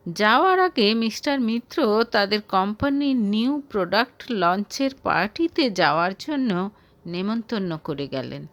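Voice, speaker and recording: A woman's voice: mid-range voice, no room sound. A woman reading a romantic story aloud as scripted narration.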